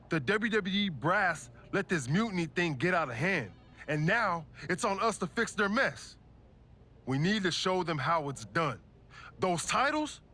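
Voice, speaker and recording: A man playing a confident, fired-up wrestler with a forceful, slangy manner in a video game cutscene. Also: fairly high pitch, full character voice. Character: fired-up, confident champion wrestler out to reclaim titles.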